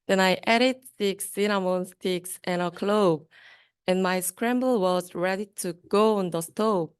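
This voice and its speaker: A young woman reads a rhyming children's book aloud at a slow pace. Her voice is clear and smooth, and the recording is close and dry. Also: medium pitch, no echo. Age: young adult. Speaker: student reader.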